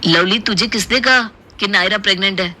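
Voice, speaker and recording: A man's voice: high-pitched, a little fast, sharp tone. A man voicing a confused, reproachful young husband in a cartoon story, with a questioning manner. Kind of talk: Hindi cartoon moral-story voice acting.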